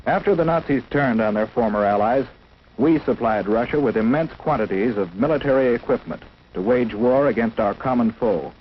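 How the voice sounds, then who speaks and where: A man's voice: medium pitch, normal speed, husky. An American man reads a scripted 1950s instructional film narration in a formal, solemn, declarative manner. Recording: dull, noisy 1950s film soundtrack.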